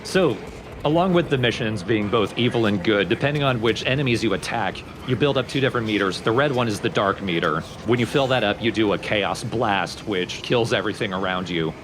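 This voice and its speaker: A man's voice: lowish voice, lively intonation, fairly quick, background noise. A man giving casual, explanatory let's play commentary on a video game. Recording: game music behind commentary.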